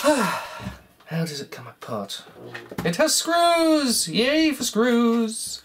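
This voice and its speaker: A croaky speaker rambles through a self-mocking running commentary while taking apart a cassette player at home. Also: medium pitch, huge pitch swings, drawn-out words, slight background noise. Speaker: hobbyist repair vlogger.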